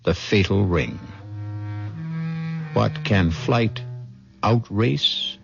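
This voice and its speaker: A man's voice: fairly low pitch, animated, drawn-out words, vocal fry, heavily acted. An actor playing an ominous radio mystery narrator, grave and suspenseful in his dramatic delivery. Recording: muffled vintage radio broadcast under music.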